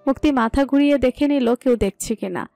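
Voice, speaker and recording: A woman's voice: high voice, brisk, creaky, dark tone, no room sound, soft background music. A woman reading a scripted story as its narrator.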